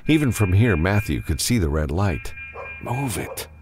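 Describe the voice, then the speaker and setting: Very deep-voiced male audiobook narrator. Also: big pitch swings, normal speed, over music. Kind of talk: scripted dramatic narration of an adventure novel.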